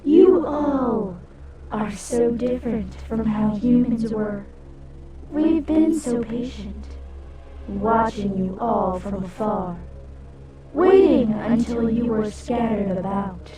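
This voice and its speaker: A woman playing a ravenous, dying, menacing creature that speaks as a collective, in a deliberate, ominous manner for an audio drama. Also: on the high side. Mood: menacing, grieving. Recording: distant voice under loud music.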